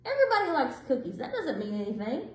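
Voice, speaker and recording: A skeptical young schoolboy from a storybook, read by a woman, high and swooping. He insists on his doubts in an expressive way, in an echoey room. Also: fast. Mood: doubtful. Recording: roomy indoor echo.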